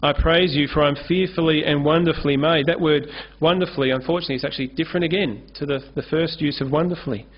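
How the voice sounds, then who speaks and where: A man's voice: fairly high pitch, steady tone, quick pace, hardly any pauses. A man, preaching an earnest sermon at a lectern microphone on a church stage. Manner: earnest, gestures freely while preaching at a lectern. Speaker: pastor. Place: church stage with curtain backdrop. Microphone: lectern-mounted microphone. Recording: dull lo-fi sermon recording.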